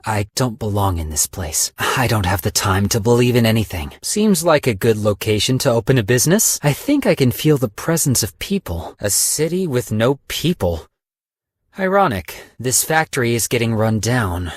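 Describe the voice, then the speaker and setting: A tired, money-minded broker from a video game, who talks in a calm, deadpan way. His husky voice moves a lot in pitch. The studio sound is dry and close. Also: moderate pace, no echo. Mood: weary. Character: weary, cold-blooded businessman stock broker, male, adult. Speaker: game character voice lines.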